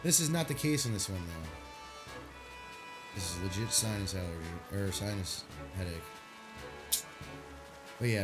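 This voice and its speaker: A man streaming a game, chatting casually and rambling, stuffy from a sinus headache. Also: lowish voice. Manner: rambling, laid-back, swears casually.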